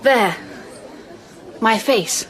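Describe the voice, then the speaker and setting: An actress playing a polite, high-minded young Englishwoman in a period radio drama, with an English accent and precise manner. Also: expressive, very slow, some chatter behind, a little hiss or hum. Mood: restrained politeness. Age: young adult.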